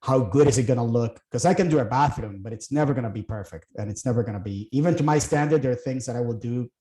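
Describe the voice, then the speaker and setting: A man's voice: medium pitch, fast, dark tone, echoey room. A middle-aged man from Puerto Rico, a guest talking casually about his work, thinking aloud with fillers and restarts. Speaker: guest, real estate investor. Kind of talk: casual interview chat.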